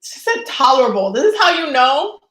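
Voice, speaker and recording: A woman's voice: high voice, very animated, normal speed, a crowd in the background. Woman, chatty, laughing, live-stream host, eating while talking, echoey home room. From Dominican. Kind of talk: casual live-stream chat.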